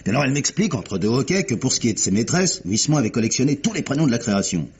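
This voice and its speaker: Fast-talking, eager police inspector played by a man. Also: mid-range voice, lightly acted. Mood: excited storytelling. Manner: colloquial, animated storyteller. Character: chatty, eager male police inspector, proud of his findings. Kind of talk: mid-century French radio crime drama acting. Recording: dull old radio recording, clear hiss.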